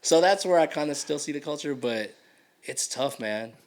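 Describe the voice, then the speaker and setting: A man in his thirties with an American accent, whose first language was Tagalog, chatting relaxed as a podcast guest and laughing often through his stories. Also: fairly high pitch, normal speed. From United States. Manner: relaxed storyteller, laughs often, uses slang. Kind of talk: casual chat.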